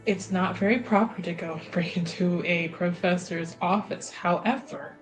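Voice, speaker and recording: A woman playing a tabletop game, speaking in a measured, slightly formal way. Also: fairly low pitch, steady tone, soft background music, low-quality recording. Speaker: player. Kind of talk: casual tabletop game chat.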